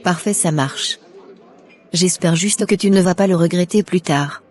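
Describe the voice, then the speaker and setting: Woman acting low-pitched scornful jilted mistress. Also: a little slow, soft background music. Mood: hurt, scornful. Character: indignant jilted young mistress, proud and scornful.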